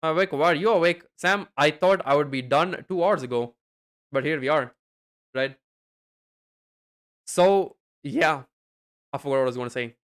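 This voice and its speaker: A man's voice: fairly high pitch, moderate pace, long pauses, clean tone. A young man streaming late at night, chatting loosely with his viewers, full of fillers and casual swearing. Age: young adult. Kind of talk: casual stream chat reading chat.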